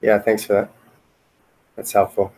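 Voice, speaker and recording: A man's voice: lowish voice, monotone, unhurried, darkish tone, low-quality recording. A man chatting casually in a remote meeting, talking in a hesitant, rambling, hedging way. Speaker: software team member in a retrospective meeting.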